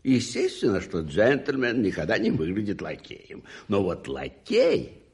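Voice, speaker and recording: A pompous duke in a radio play, rather low in voice and very slow. His pitch swings wildly as he muses aloud, dim and thoroughly pleased with himself. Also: huge pitch swings, full character voice. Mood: puzzled, amused. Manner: pompous, halting, musing aloud. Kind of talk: Soviet radio play acting.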